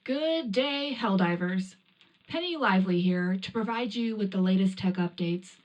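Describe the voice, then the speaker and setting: A businesslike wartime radio correspondent played by a woman. She reads scripted tech news in a medium-pitched voice. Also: normal speed, muffled recording, natural voice. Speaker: tech update presenter.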